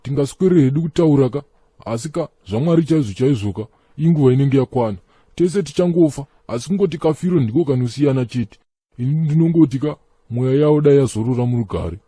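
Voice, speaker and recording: A man's voice: medium pitch, fast, mellow, breathy edge, no echo, natural voice. An actor voicing a Zimbabwean cartoon church elder who lectures his friend in an earnest tone. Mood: lecturing. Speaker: cartoon character, friend of Chikombera. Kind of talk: comic cartoon dialogue.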